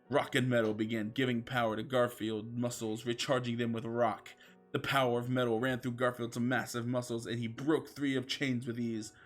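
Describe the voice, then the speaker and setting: A man reading fan fiction aloud as a comic dramatic narrator, mock-serious and emphatic. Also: medium pitch.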